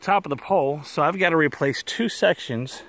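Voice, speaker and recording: A man telling stories in a casual, folksy, unhurried vlog style with a wry manner, recorded outdoors on a handheld phone. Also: fairly high pitch, a bit bright, no room sound. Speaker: vlogger, cable installer. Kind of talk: casual first-person storytelling vlog. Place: outdoors on a wooded trail.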